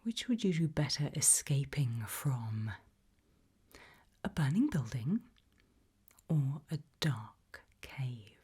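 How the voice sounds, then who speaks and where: A woman whispering in a breathy, hushed and deliberate ASMR style, putting questions to the listener. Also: rumbling low, sing-song. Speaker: ASMR host.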